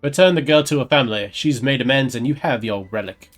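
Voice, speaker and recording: A man's voice: medium pitch, quick pace, a bit bright, faint music behind. A man streaming a game, mixing casual commentary with reading his character's dialogue aloud. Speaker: gaming streamer voicing his player character.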